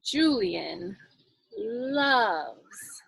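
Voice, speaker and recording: A woman's voice: on the high side, lively intonation, very slow, creaky, fairly bright tone, slight background noise, poor audio. A woman leading a children's sign language storytime, demonstrating and explaining as she goes. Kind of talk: sign language storytime lesson with singing and read-aloud.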